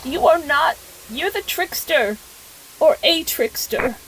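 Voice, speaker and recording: A woman playing an anxious, devout widow in an audio drama, halting and repeating herself, with formal, old-fashioned phrasing. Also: high-pitched, lively intonation, a bit bright, exaggerated voice, rough recording. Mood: uneasy, searching. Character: anxious, devout widow, overwhelmed, speaking to her dead husband's likeness. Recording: faint background noise.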